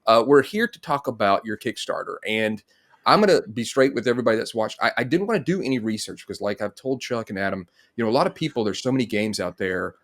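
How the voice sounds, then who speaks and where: A man's voice: fairly low pitch, expressive, quick pace, a bit bright. A middle-aged man, hosting a casual interview. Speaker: host. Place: indoor home room, on a video call.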